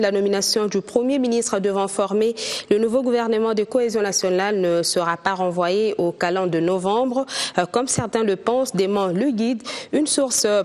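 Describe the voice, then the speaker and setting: A woman anchoring a television news bulletin, reading in a measured way with the odd hesitation. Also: medium pitch, a little mellow.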